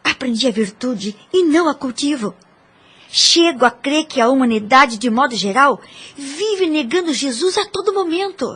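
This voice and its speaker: A woman's voice: high voice, expressive, normal speed, fairly bright tone. An actress with a Brazilian accent playing a disillusioned, remorseful married woman in an audio drama, sorrowful and introspective. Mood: despairing.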